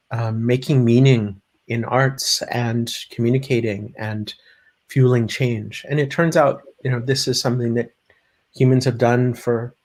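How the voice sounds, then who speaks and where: A man hosting a live-streamed panel discussion, speaking haltingly with many pauses. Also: mid-range voice, a little mellow. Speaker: host, panel moderator.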